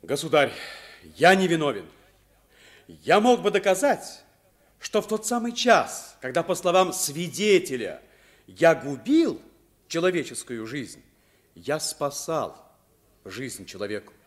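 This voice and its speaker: Noble prisoner: highish voice, animated, impassioned stage declamation for radio drama. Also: male voice, a little slow, long pauses. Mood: impassioned pleading. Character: noble, loyal accused man pleading for a child. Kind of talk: Soviet radio play acting.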